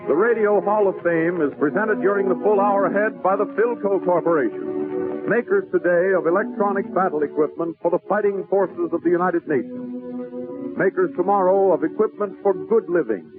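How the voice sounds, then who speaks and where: A man's voice: sits high, moderate pace. A man announcing a 1940s radio show in a formal, declamatory, scripted style, on an old broadcast recording. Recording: tinny 1940s radio broadcast over music. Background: music.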